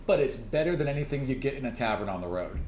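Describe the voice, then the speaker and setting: A man's voice: medium pitch, animated, fast, a little mellow, a little hiss or hum. A man improvising conversational narration as the game master of a tabletop role-playing podcast. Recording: roomy echo, music underneath. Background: background music.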